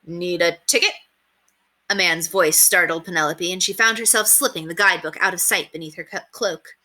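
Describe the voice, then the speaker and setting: A woman narrating a children's story aloud in an expressive read-aloud style. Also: lowish voice, brisk.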